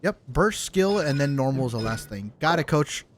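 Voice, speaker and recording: A man's voice: mid-range voice, lively intonation, moderate pace, slight background noise. A man doing casual live gameplay commentary as a streamer, thinking aloud and talking to himself. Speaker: game streamer.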